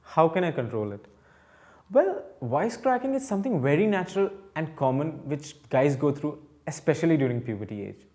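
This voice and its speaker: Higher-pitched, lively man presenting. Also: moderate pace. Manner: reassuring, addresses viewers directly. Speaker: host of a youth health Q&A show. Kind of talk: upbeat presenter explainer to camera.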